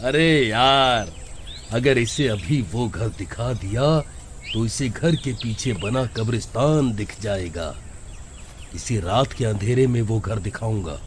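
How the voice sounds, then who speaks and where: A man's voice: lowish voice, slow pace, a little hiss or hum. A man voicing a sly, calculating landlord in a cartoon story. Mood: scheming. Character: sly, scheming male landlord. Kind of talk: cartoon voice acting.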